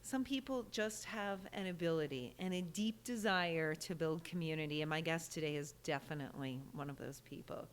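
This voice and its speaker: A woman hosting an interview podcast, enthusiastic and lively, breaking into laughter. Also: low voice, normal speed, few pauses. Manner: enthusiastic, warm-toned interviewer with bursts of laughter. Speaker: podcast host.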